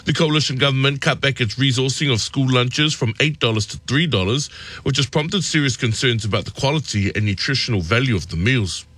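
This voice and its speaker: A man's voice: medium pitch, fairly bright tone, no room sound. New man reading radio news. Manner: measured news delivery, friendly banter. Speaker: news reader and radio producer. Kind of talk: radio news bulletin and casual studio chat. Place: radio studio.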